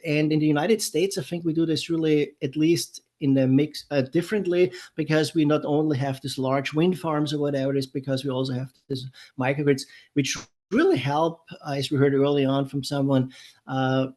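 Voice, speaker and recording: A man's voice: a little high, fairly even intonation, normal speed, a little mellow. A man from Europe with a German accent, giving an unscripted technical lecture in a conversational way, with many fillers and restarts. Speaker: webinar presenter. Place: San Diego, California.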